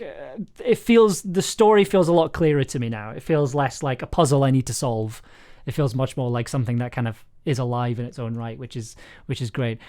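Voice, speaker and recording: A man with a mid-pitched voice chats casually as the host of a livestream. He is effusive and enthusiastic, and he rambles from one thought to the next. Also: darkish tone. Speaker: host, audio drama writer and producer. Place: remote online call on a livestream.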